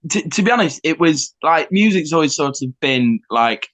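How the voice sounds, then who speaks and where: A man's voice: fairly high pitch, animated, normal speed, fairly bright tone. A young man from Nottingham, chatting as a musician guest in a self-deprecating way with lots of fillers. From Nottingham, England. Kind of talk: casual interview chat.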